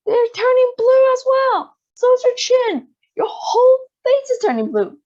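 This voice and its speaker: A woman voicing a frantic, shrieking mother in a read-aloud storybook, her voice shrill and screaming. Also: very animated, a little slow, darkish tone, exaggerated voice. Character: panicking shrieking mother, middle-aged woman. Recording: dull lo-fi recording.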